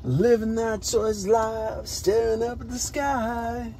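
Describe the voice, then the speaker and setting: A man's voice: very high voice, little pitch movement, warm tone, soft background music. American man performing rhythmic spoken word poetry. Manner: drawn-out, rhythmic, emphatic.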